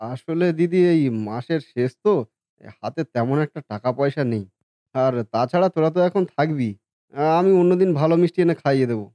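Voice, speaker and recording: Guy acting as kind cartoon brother smoothing things over, mellow voice, a bit high for a man. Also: animated, a little fast, dry recording, close to an everyday voice. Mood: apologetic, placating. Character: gentle, conciliatory, generous younger brother. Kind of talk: cartoon family drama acting.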